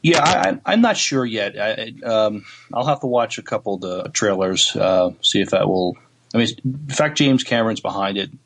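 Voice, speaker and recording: A man co-hosting a casual podcast, speaking haltingly and repeating words as he goes. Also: on the low side, lively intonation, fairly bright tone, low-quality recording. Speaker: podcast co-host. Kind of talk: casual podcast chat with read-out news items.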